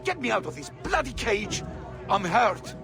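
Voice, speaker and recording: An actor playing a scrappy, excitable male informant in a video game, pleading and theatrical in a melodramatic manner. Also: high voice, normal speed, music in the background. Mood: panicked, indignant. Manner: melodramatic, indignant.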